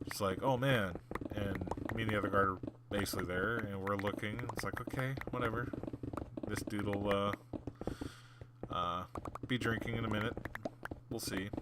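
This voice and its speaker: A man's voice: on the low side, steady tone, moderate pace, pauses often, heavy background noise. A young adult man hosting a gaming video, telling stories from work in a loose, rambling way with lots of fillers. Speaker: gaming channel host. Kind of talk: casual storytelling over gameplay. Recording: clear background clicking.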